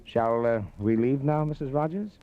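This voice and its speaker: An actor with an American accent playing a menacing, terse killer who is coolly polite, in curt, hesitant 1940s radio drama style. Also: male voice, mid-range voice, normal speed, natural voice. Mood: mockingly polite. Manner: curt, hesitant, quietly threatening. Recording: dull 1940s radio disc transfer.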